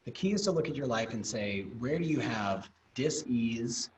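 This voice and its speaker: A middle-aged man hosting a podcast, chatting in a relaxed way with playful asides. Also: mid-range voice, normal speed. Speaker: podcast host. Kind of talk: casual interview talk. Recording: distant mic, faint background noise.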